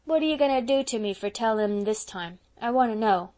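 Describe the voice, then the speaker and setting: A woman's voice: on the high side, lively intonation, fairly quick, dark tone, close to an everyday voice. A woman reading aloud and voicing a mischievous, curious six-year-old boy who pleads and sobs in a childish way. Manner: childish, plaintive, sobbing pleas.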